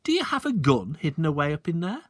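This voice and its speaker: A man's voice: fairly high pitch, wildly swooping pitch, quick pace, no echo, studio quality, pitched above the performer's own voice. A man voicing an earnest young boy who is easily shocked, reading a storybook aloud. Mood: eager.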